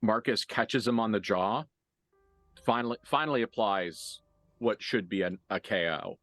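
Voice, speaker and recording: A man improvising narration as a game master for a horror tabletop game, conversational and halting, with graphic detail. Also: on the low side, a bit bright, no room sound, faint music behind.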